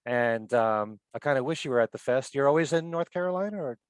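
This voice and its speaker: A male podcast host speaking at a middle pitch with an easy, friendly storytelling manner. The recording is dry, with no room sound around his voice. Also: animated, brisk, clear, resonant tone, dry recording. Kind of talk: casual interview chat. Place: lives in Connecticut.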